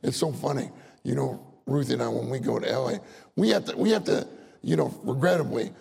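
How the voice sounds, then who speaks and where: A man's voice: mid-range voice, animated, brisk, vocal fry, a little mellow, breathy edge. An older man preaching a folksy sermon, telling stories, acting out voices and laughing often. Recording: long hall reverb.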